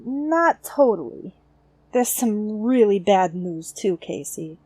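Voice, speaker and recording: A woman's voice: mid-range voice, expressive, slow pace, darkish tone, slightly rough, dry recording. A woman playing a cocky, slangy young female street fighter in a comic-book audio drama. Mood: dry, concerned.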